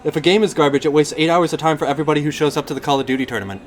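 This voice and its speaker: Higher-voiced, slightly hoarse man hosting, noisy background. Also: rapid-fire. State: throat recovering from illness, slightly hoarse. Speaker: podcast host. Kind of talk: casual banter on a live podcast. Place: inside a game store.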